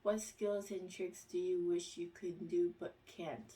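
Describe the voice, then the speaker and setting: Slow, halting woman vlogger, slightly distant. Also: lowish voice, steady tone, mellow. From United States. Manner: reads each question aloud, then answers haltingly with fillers. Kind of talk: casual question-and-answer video.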